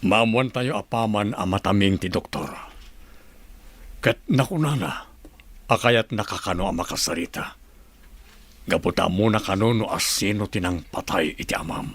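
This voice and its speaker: A stern, demanding governor in an Ilocano radio drama. His voice is low and husky, with a Filipino Ilocano accent. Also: moderate pace, long pauses, fairly bright tone. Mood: grave, resolute.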